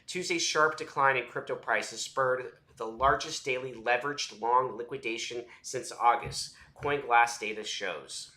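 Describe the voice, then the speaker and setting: A man's voice: medium pitch, measured pace, hardly any pauses, sharp tone, reverberant. Congested man hosting a crypto stream. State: congested from a cold. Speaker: livestream host reading headlines. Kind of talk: casual livestream chat.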